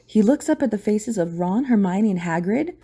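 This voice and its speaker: Mellow-voiced woman narrating a drama. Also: medium pitch, lively intonation, normal speed, husky.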